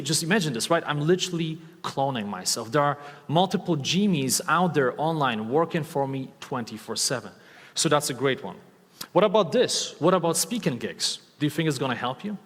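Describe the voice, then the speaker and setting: A man in his thirties with a Polish accent, giving an enthusiastic stage talk and often asking the audience questions. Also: fairly high pitch. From Poland. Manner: enthusiastic, asks the audience questions, repeats key words. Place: large hall with live audience. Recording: long hall reverb from a stage talk.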